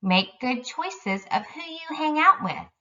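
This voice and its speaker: A woman's voice: sits high, lively intonation, slow pace, bright, forceful tone, recorded from a distance, dull audio. A woman elementary school teacher giving an instructional lesson to young children in careful, simple phrasing.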